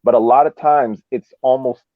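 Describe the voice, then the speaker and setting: A man talking soccer as a podcast panelist in a casual, emphatic, halting way, often repeating words as he builds his point. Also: medium pitch, steady tone, no room sound. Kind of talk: casual sports discussion. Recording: phone-like, band-limited call audio.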